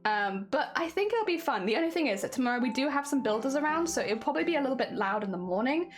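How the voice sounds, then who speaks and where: Wheezy woman streamer on a headset, high-pitched and animated, faint music behind. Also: fast. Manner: chatty, rambling, laughs often. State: wheezy, voice strained. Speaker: crafting livestreamer. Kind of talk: casual chat to live chat.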